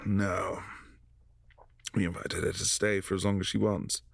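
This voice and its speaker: A man voicing a gravelly, husky vampire prince. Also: low voice, flat delivery, takes it slowly, no room sound, clean studio sound, heavily acted. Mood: calm, concerned. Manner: soft, hushed, often whispering. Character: brooding possessive vampire prince, adult male. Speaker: voice actor in audio drama. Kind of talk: boyfriend ASMR audio drama.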